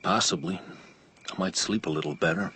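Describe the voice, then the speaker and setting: A man's voice: sits low, fairly even intonation, a little fast, fairly bright tone, slight background noise. An actor playing a haunted, brooding older male wanderer, storytelling in a radio drama. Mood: menacing, haunted.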